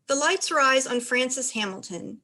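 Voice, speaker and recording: A woman's voice: high voice, lively intonation, moderate pace, sharp tone. A woman reading out stage directions as the narrator of a dramatized play reading.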